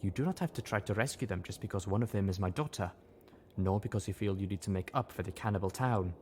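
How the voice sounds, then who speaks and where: A man's voice: lowish voice, quick pace, a little mellow, slight background noise, lightly acted. A man doing a formal, motherly zebra mare. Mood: earnest. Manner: formal speech without contractions. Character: wise, motherly adult female zebra, formal. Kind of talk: audiobook character voice.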